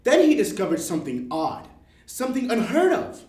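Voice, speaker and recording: A woman reading a children's storybook aloud in an expressive style. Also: a little high, moderate pace. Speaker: storybook reader. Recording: echoey room, clear background noise.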